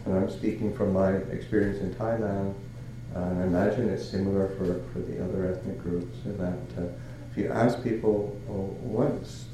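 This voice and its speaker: Older monk from Canada, low voice, halting delivery full of fillers, echoey noisy room. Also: male voice, level tone. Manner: halting, many fillers and restarts, chuckles. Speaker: Buddhist monk. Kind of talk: dharma talk.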